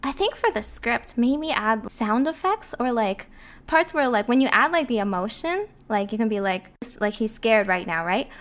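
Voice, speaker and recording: A woman with a fairly high voice talks in a casual, conversational way. She is giggly and animated, slipping into little quoted asides as she tells stories. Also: moderate pace. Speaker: voice actor, podcast narrator. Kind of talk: casual chat.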